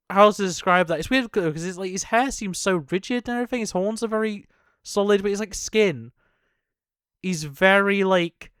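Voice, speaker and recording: High-pitched young man, casual reaction commentary. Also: a bit bright, clean tone, no room sound, studio quality. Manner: rambling, thinks aloud, hedges often. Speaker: video game trailer reactor.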